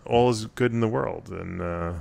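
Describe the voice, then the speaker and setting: A man's voice: fairly low pitch, moderate pace, gravelly, darkish tone. A man hosting a casual radio talk show, rambling and joking with plenty of fillers. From Florida. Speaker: host.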